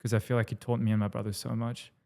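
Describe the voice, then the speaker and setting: A young man from New Caledonia with a French accent tinged with Australian, talking in a relaxed, rambling way as an interview guest. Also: fairly low pitch, very fast, vocal fry. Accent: French, with some Australian. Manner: relaxed, rambling, laughs easily. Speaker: guest, musician. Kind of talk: casual interview chat.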